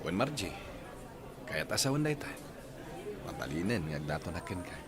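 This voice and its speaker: A man's voice: deep voice, big pitch swings, normal speed, a crowd in the background. A man with a Filipino accent playing a fed-up, evasive boyfriend in a radio drama, irritated and defensive. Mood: smooth, courting. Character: Rolly, fed-up, evasive, spendthrift young boyfriend. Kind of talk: Philippine radio drama acting.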